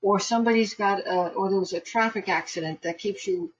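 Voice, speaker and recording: A woman giving live psychic astrology readings online, chatty and quick to laugh, with frequent restarts. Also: mid-range voice, muffled recording, a little hiss or hum.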